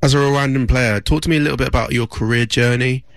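A man works as a radio interviewer, putting questions to a guest. His pitch is average, and the recording is dry with little echo. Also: steady tone, fast talker.

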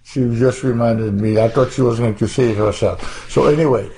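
A man's voice: medium pitch, steady tone, fairly quick, mellow, a little hiss or hum. A man in his eighties from Haiti with a Haitian accent, chatting playfully and ramblingly about family life at home. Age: 80s. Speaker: interviewee, father. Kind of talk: casual family chat. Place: at home, recorded by a relative.

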